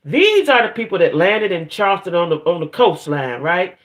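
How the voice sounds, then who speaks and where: Female live-stream host, lower-register voice with very lively swings, playful, colloquial, rambling delivery, chatting casually with viewers. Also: moderate pace. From northern United States. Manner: playful, rambling, colloquial, frequently checking in with "right?".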